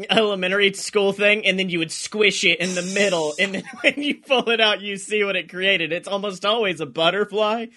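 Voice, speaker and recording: A man co-hosting a podcast with excitable, jokey, profane casual banter. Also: high voice. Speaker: podcast co-host.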